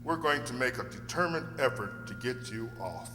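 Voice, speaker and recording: A man playing a measured, probing defense lawyer in an old radio drama with a reverberant sound. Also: medium pitch, lively intonation, close to an everyday voice. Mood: grave, probing. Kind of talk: 1940s radio drama acting.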